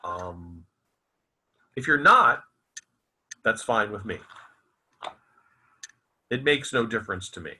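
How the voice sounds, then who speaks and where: Bright, forceful man reciting with dramatic swoops. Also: fairly low pitch, lively intonation, slightly rough. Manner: dramatic, deliberate, emphatic with repeated phrases. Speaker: author reading own novel aloud. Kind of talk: theatrical literary recitation.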